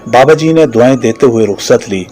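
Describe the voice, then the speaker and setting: A man's voice: mid-range voice, moderate pace, warm tone, slightly rough, faint music behind. A man narrating a scripted moral story for a children's cartoon.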